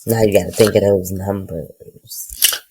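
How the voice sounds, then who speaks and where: Congested, husky woman with sassy comebacks. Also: very deep voice, steady tone, unhurried, dark tone, slight background noise. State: congested, stuffy nose. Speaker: podcast co-host. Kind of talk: casual chat.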